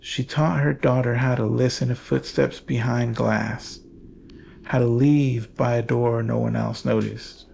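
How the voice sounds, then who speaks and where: A man reading scripted horror narration in a grave, measured, ominous manner. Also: medium pitch, fairly even intonation, gravelly, darkish tone, muffled recording, slight background noise.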